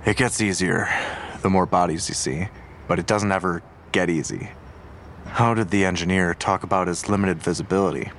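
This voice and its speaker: A man's voice: low voice, fairly even intonation, moderate pace, a bit bright, a little hiss or hum. An actor playing a seasoned, world-weary male homicide detective in an audio drama, asking terse, probing questions. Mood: grave, businesslike.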